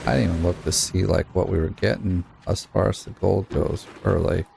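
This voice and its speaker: A man's voice: low-pitched, fairly even intonation, moderate pace, vocal fry, noisy background. A man from Utah giving casual, rambling commentary as he plays a video game, muttering at it as he goes. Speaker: gaming YouTuber. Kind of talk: casual let's-play commentary.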